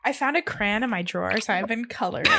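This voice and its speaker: A woman's voice: fairly high pitch, expressive, fairly quick, vocal fry, pushed and bright, slight background noise. A woman chatting casually as a podcast guest or co-host, with a joking, teasing manner. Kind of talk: casual chat.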